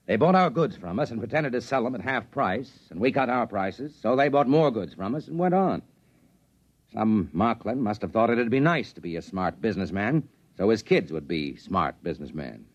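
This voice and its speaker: Persuasive frontier space trader, lowish voice, 1950s radio drama delivery, dry muffled recording. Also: male voice, lively intonation, moderate pace, dry recording. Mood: grave, explaining. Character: confident, persuasive trading-post man on an alien planet.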